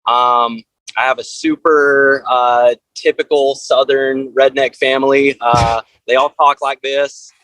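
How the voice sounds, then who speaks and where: Halting, casual guy from South Carolina. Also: mid-range voice, flat delivery, a bit bright, dry recording, poor audio. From South Carolina, USA. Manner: loose and halting, peppered with "like". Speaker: interview guest, band frontman. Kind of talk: casual chat.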